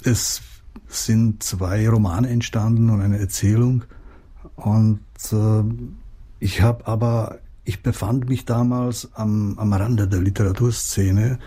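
Low, husky man with a Czech accent. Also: steady tone, slow, warm tone. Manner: hesitant, many fillers and restarts. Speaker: writer, interviewee. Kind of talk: interview answers.

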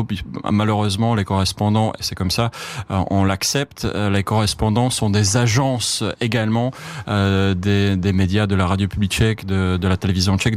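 A man with a Czech accent, a foreign correspondent answering interview questions in French with many fillers and restarts. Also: lowish voice.

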